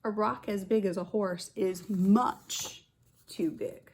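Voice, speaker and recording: Husky woman reading aloud to young children. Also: medium pitch. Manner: deliberate, expressive storytelling with stressed words. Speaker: kindergarten teacher. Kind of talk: children's picture book read-aloud with chatty asides.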